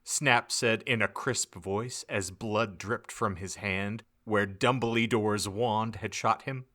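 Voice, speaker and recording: A man giving a mock-dramatic, theatrical narration of a comedic audiobook, with deliberate emphasis. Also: on the low side, fairly even intonation, fairly bright tone. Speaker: podcast narrator.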